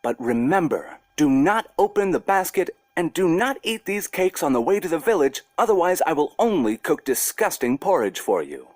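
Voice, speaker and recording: Man doing a high-pitched, insistent clever little girl. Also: sing-song, moderate pace, few pauses, darkish tone, no echo. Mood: firm, instructing. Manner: sing-song, insistent storybook delivery. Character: clever little girl, pleading yet bossy.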